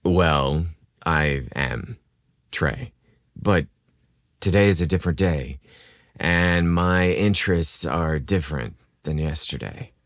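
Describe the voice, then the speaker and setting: A man voicing a smooth, seductive, intense male barista in love, in a romantic audio storybook. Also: sits low, takes it slowly, a bit bright, no room sound, clean studio sound. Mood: teasing, confident.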